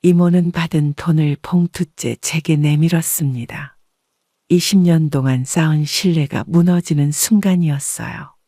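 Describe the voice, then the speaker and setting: A woman reading a first-person story as an audiobook narrator in a measured, composed way. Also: sits low, warm tone, no echo, clean studio sound.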